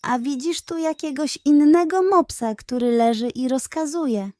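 A woman's voice: sits high, a little slow, warm tone, dry recording, studio quality, pitched above the performer's own voice. A woman voicing an ironic, mocking male farmyard cat in a children's story, with a sarcastic, teasing delivery. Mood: dry, sarcastic.

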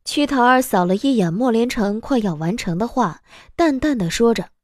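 Animated woman narrating an audiobook. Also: fairly high pitch, normal speed, dry recording, studio quality. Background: soft background music.

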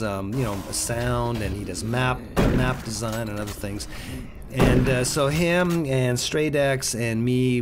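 A man giving casual, rambling play-through commentary as a gaming video host. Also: fairly low pitch. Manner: chatty, rambling, jumpy exclamations. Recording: noisy voice-over with game sounds. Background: video game sounds.

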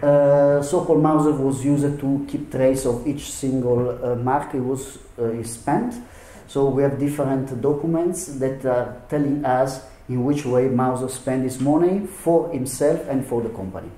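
A man presents historical documents at a symposium in a hesitant, filler-laden way with non-native phrasing. His tone is mellow and dark. The room adds a noticeable echo. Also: mid-range voice, fairly even intonation, unhurried. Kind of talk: conference presentation. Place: lecture hall. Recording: echoey hall.

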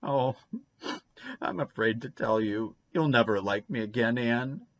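A man's voice: mid-range voice, measured pace, fairly bright tone, no room sound, highs cut off. A man voicing a guilty, desperate little boy in a storybook, with childish, ungrammatical country speech. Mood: miserable, pleading. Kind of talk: storybook character reading.

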